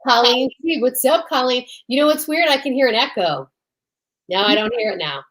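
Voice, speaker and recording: A woman co-hosting a live comedy stream in casual banter, playful and excitable, repeating phrases for emphasis. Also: fairly high pitch, fairly quick.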